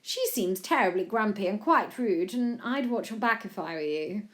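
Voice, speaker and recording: A woman's voice: medium pitch, expressive, moderate pace, fairly bright tone, voice pushed up, lightly acted. A woman voicing an elderly, posh Queen in a story, regal and old-fashioned in her phrasing, frank and playful. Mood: regal, concerned.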